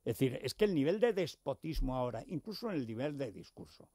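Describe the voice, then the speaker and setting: A man's voice: mid-range voice, big pitch swings, fast, mellow, slightly rough, dry recording, clean studio sound. A man with an accent from Spain, talking politics on a radio show in an emphatic, sarcastic, digressive way. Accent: Spanish from Spain. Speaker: radio talk show host. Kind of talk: impassioned political discussion.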